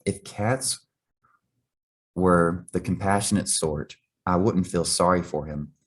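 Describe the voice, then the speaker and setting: A man's voice: low voice, takes it slowly, slightly rough, heavily acted. A man voicing a proud, mournful female cat who likes to be a loner, in a children's storybook reading. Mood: whispering, aloof.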